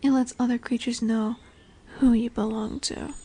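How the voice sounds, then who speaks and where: A woman voicing a dominant but tender lamia in a fantasy roleplay audio drama, speaking softly and soothingly, often dropping into whispers. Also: a little high, little pitch movement, normal speed, a little hiss or hum, close to an everyday voice. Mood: gently commanding. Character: dominant, tender female lamia, snake-bodied forest monster.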